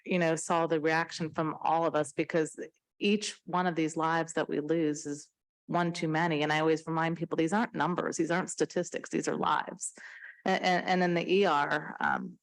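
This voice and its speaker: A woman's voice: low-pitched, flat delivery, moderate pace. A woman speaking as a health official, giving an earnest, emphatic answer on a panel without a script. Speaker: CDC official and emergency physician, panelist.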